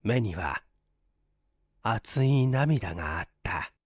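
A male narrator reads literary fiction in a dramatic audiobook style. His delivery is slow with expressive pitch movement, recorded close and dry. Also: husky, no room sound. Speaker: narrator, story reader.

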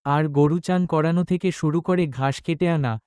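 A man's voice: a little high, normal speed, a little mellow, clean tone, no room sound, clean studio sound, close to an everyday voice. A man playing a distraught, poor middle-aged village father and labourer in a cartoon story, lamenting his lot. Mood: despairing.

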